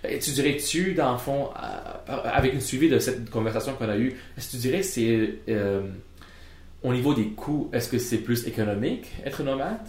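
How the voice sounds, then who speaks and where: A man with a Quebec French accent hosting a podcast, asking questions in a halting way and repeating words. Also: mid-range voice, expressive, a little mellow. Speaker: podcast host. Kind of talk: casual podcast conversation. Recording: echoey room.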